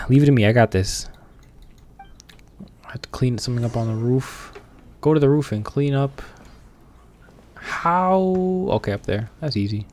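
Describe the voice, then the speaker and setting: A man's voice: medium pitch, measured pace, long pauses, darkish tone. A young man giving casual, joking, slangy commentary as he streams a video game.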